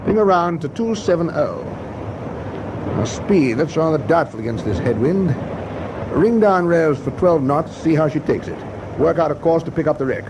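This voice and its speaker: A man playing a wry, seasoned British destroyer captain in a wartime radio drama, with dry, brisk naval understatement. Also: fairly high pitch. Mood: commanding. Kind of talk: WWII radio drama acting. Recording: steady ship ambience noise behind.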